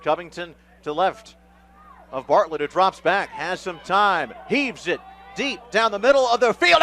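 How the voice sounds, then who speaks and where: Bright, high-pitched man calling football live. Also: slight background noise. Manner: clipped phrases, emphatic calls on big plays. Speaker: play-by-play announcer. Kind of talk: live radio football play-by-play.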